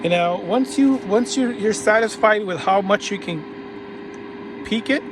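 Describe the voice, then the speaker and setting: A man casually narrating a hands-on radio repair tutorial while he works, relaxed and restarting his phrases often. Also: high voice, unhurried. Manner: relaxed, talks while working, frequent restarts. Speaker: vintage radio repair hobbyist. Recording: audible background noise.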